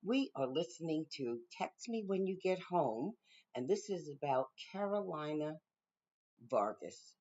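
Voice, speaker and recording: A woman hosting a show, opening it with an upbeat, loud greeting. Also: low-pitched, big pitch swings, measured pace. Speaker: podcast host. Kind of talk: show introduction. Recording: dull lo-fi audio.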